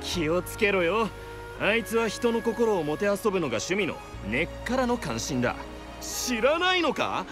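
A disdainful, suspicious game character with a high, very animated voice. He speaks bluntly and condescendingly, over prominent music. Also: normal speed. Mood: scornful. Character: scornful, suspicious man gossiping about a rival. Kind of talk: Japanese video game voice acting. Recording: loud music behind the voice.

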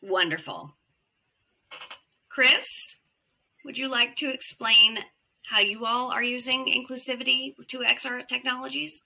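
Woman moderator with a sharp, tense tone. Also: on the high side, unhurried, vocal fry, pauses often, poor audio. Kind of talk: panel moderation on video call.

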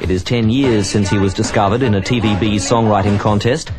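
A man reporting in measured, journalistic narration and asking interview questions. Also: lowish voice, steady tone, music in the background.

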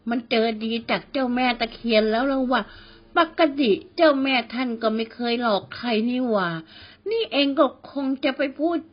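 A woman voicing a gruff rural father who talks in a blunt, coarse, scolding way. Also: high voice, normal speed, dull audio. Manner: coarse, rough-spoken.

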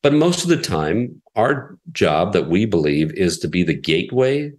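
Deep-voiced man explaining steadily. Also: sing-song. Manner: measured, explanatory, frequent "you know" fillers. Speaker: classical radio station president. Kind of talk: conversational interview answers.

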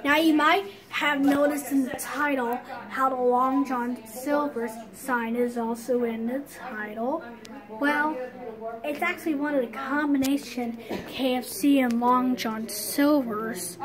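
A woman's voice: sits high, fairly even intonation, takes it slowly, crowd noise, poor audio. A woman filming a casual walk-through vlog outside a closed restaurant, rambling and often shrugging things off with "well, whatever.". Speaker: vlogger exploring an abandoned building. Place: outdoors around a closed restaurant building.

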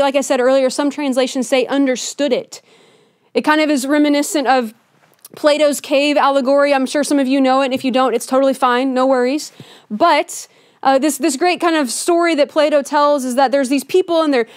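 A woman pastor giving a Christmas sermon in a church, conversational and earnest, often softening her points with "kind of.". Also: high voice, no room sound, studio quality. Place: church sanctuary with a Christmas tree.

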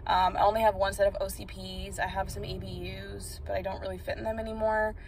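A woman's voice: mid-range voice, little pitch movement, fast, darkish tone, slight background noise. A young woman talking casually into her phone camera, rambling about her day with frequent ums. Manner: chatty, rambling, lots of ums. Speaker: vlogger. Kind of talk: casual day-in-the-life vlog. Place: inside a parked car, then a home kitchen. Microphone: phone camera at arm's length.

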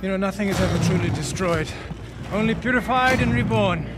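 A man playing a cold, grandiose warlord villain in a video game, speaking in a formal, deliberate, pompous manner. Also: high-pitched, very noisy, close to an everyday voice. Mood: gloating, solemn. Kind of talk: cinematic video game acting. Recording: music behind the voice.

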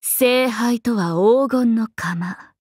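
A woman voicing a playful, cheerful young swordswoman in an anime mobile game, breezy, expressive and teasing like a big sister. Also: fairly high pitch, very slow, slightly rough, no room sound, clean studio sound, full character voice. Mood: mock solemn. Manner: breezy, expressive, teasing, casual with sudden formal turns.

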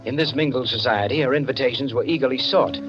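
An actor playing an urbane storyteller, narrating 1940s radio drama in a measured, literate, deliberate manner. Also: male voice, medium pitch, lightly acted. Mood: composed, wry. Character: urbane storyteller of a society mystery. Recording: muffled old radio recording with music.

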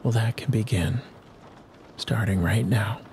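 A man with a lowish voice guides listeners toward sleep in a hypnosis narration. He speaks very slowly and soothingly, leaving long pauses between short phrases. A soft bed of rain and thunderstorm sound sits behind his voice. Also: a little hiss or hum. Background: rain and distant thunder ambience.

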